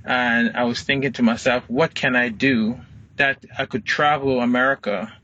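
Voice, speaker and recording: Guest, middle-aged man, Guyanese accent, relaxed storyteller, bright tone. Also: mid-range voice, normal speed, dull audio. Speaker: guest, photographer. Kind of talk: casual interview answers.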